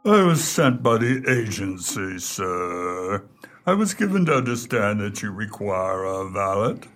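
An actor playing an unflappable, deferential English valet in a radio play, speaking formally and with measured understatement. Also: male voice, on the low side, big pitch swings, fairly bright tone, faint music behind. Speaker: valet character in dialogue.

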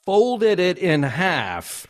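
A man hosting a casual panel chat, talking in an animated, joking way. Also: high voice, very slow. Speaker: podcast host.

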